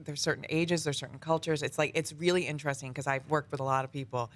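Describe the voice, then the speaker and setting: A woman's voice: low-pitched, steady tone, fast. A woman speaking as a storyteller and comedian guest in a casual interview, telling anecdotes and acting out people's reactions.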